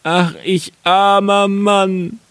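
A man's voice: mid-range voice, sing-song, dry recording, full character voice. A man voicing a hapless, stubborn wagon driver who laments in a fairy tale. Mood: wailing despair. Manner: loud, drawn-out lamenting. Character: hapless, wailing male wagon driver, hot-tempered and stubborn.

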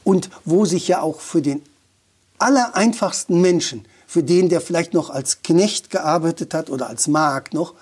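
Husky man, fairly high voice, opinionated and thoughtful, restarts a lot. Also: moderate pace, a little mellow. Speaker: dialect expert guest. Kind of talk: conversational explanation.

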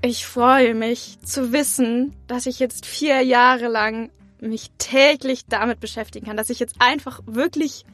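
A woman's voice: a little high, fairly even intonation, a little slow, hardly any pauses, fairly bright tone, dry recording, soft background music. A young woman answering interview questions in a casual, spontaneous way. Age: young adult. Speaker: interviewee, incoming acting student.

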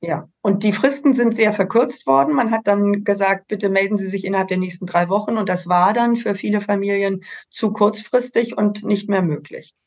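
A woman representing an association on a panel discussion, her voice with a gravelly creak. She explains earnestly and at length, adding detail after detail. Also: medium pitch, steady tone, normal speed, few pauses, a little mellow, dull audio.

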